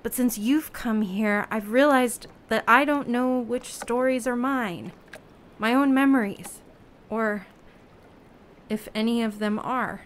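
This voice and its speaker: A woman playing a self-doubting lone human raft dweller in an audio play, talking in a halting, plain-spoken, unsure way. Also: a little high, a little hiss or hum. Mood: troubled, searching. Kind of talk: naturalistic audio play acting.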